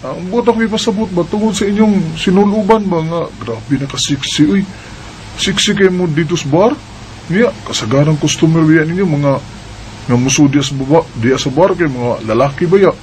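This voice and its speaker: A man's voice: a little high, normal speed, a little mellow, slight background noise, natural voice, rough recording. A young man acting the lead in a homemade radio drama, playful and laughing when courting, earnest when troubled.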